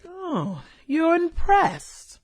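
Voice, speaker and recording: A woman's voice: extremely high pitch, huge pitch swings, drawn-out words, heavily acted. A woman acting an arrogant, ruthless former cheerleader turned killer in a radio drama, haughty, sneering and sassy. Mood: indignant.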